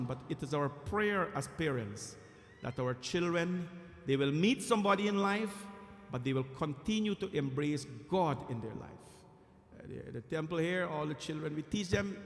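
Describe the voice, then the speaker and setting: A man from Guyana, a temple priest, giving an earnest, emphatic devotional sermon with frequent pauses. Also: on the high side. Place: temple hall. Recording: echoey temple hall.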